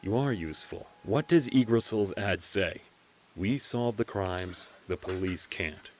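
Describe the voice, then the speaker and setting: An actor voicing a smug, manipulative government official in a visual novel, cool, dismissive and sardonic. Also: male voice, low-pitched, a little slow, a little hiss or hum. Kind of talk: English visual novel voice acting. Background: music and instruments.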